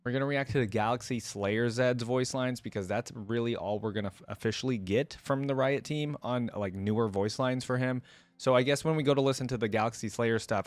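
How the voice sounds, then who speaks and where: A man with a fairly low voice gives casual reaction commentary. He rambles conversationally, thinking aloud for his viewers, recorded close and dry. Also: no room sound. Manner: rambling, conversational, mulling things over aloud. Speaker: reaction video host.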